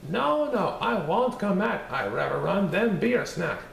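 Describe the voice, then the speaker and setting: Man doing a cocky little gingerbread cookie, high squeaky taunting voice, gravelly edge, roomy echo. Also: very animated, a little slow, fairly bright tone, a little hiss or hum, exaggerated voice.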